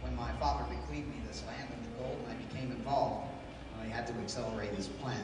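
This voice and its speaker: A man's voice: mid-range voice, fairly even intonation, a little fast, very noisy, close to an everyday voice. Man acting a commanding pulp adventure hero, echoey. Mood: grave, sincere. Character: commanding heroic adventurer, calm leader of loyal men. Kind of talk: 1930s pulp radio serial acting. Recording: distant echoey hall, music underneath.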